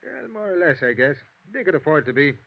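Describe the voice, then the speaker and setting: A world-weary male homicide cop from an old radio play, folksy and grumbling. His voice sits a little high and swings about in pitch. The sound is dulled, vintage radio. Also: very animated, a little fast, vocal fry, full character voice. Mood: matter-of-fact. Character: chatty, world-weary male police homicide lieutenant, a father. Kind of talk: 1950s radio drama acting, conversational. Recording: dull, noisy vintage radio recording.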